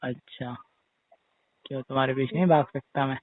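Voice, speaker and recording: A man talks privately over the phone, casual and persistent, often prodding with questions. His voice is slightly rough and of medium pitch. The line is narrow and tinny. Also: steady tone, normal speed, dry recording. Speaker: caller, brother-in-law. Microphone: phone call recording.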